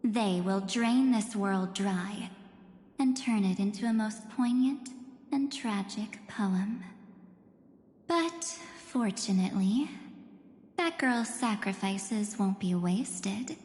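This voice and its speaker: Actress doing a mysterious game woman, really slow and theatrical, knowing and mournful, big hall reverb, music behind. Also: mid-range voice, a little mellow, close to an everyday voice. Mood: somber, resolute. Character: enigmatic, coolly knowing woman, mournful and taunting. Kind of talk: dramatic video game voice acting.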